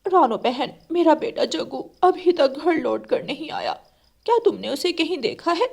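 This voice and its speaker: Lady doing a bossy cartoon crow mom, high voice, sharp tongue, scheming. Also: expressive, normal speed, gravelly. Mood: worried. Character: scolding, scheming mother crow, brazen and bossy. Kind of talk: children's cartoon character voice.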